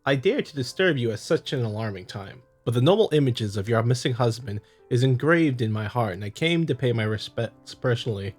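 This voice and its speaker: A man's voice: mid-range voice, animated, a little fast, hardly any pauses, breathy edge, soft background music. A man voicing a middle-aged prioress in a visual novel, effusive, pious and politely apologetic. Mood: soothing.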